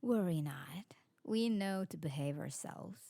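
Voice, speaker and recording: A woman playing an elegant, sociable vampire aristocrat in an ASMR roleplay, refined and formal, with a soft husky voice. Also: fairly low pitch, animated, measured pace, no echo, studio quality, full character voice. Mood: gracious. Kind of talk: scripted ASMR roleplay acting.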